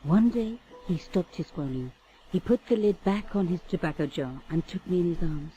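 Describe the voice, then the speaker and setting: A woman's voice: fairly low pitch, lively intonation, moderate pace, warm tone, muffled recording, slight background noise, lightly acted. An actress playing a self-assured, knowledgeable young woman journalist in a video game, speaking in a matter-of-fact storytelling way. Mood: wistful storytelling.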